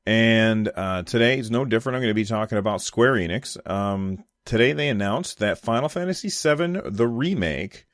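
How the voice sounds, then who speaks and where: A man's voice: lowish voice, animated, moderate pace, few pauses, no room sound, clean studio sound. An American man giving casual, unscripted solo commentary on gaming news, thinking aloud in loose conversational phrases. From United States.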